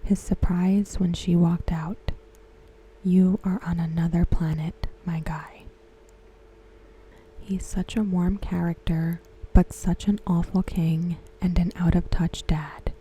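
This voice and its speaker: A woman giving ASMR commentary in a soft, breathy whisper, hushed and measured. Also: lowish voice, flat delivery, poor audio. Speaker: ASMR host reviewing a TV episode. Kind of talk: ASMR commentary, softly spoken.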